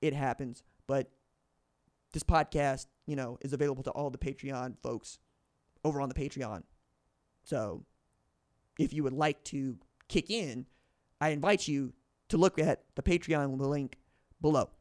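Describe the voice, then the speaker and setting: A man's voice: mid-range voice, a little slow, pauses often, darkish tone, no room sound, clean studio sound. A man hosting a podcast, reading a book aloud and adding his own commentary, often stumbling and correcting himself mid-sentence. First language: English. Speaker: podcast host.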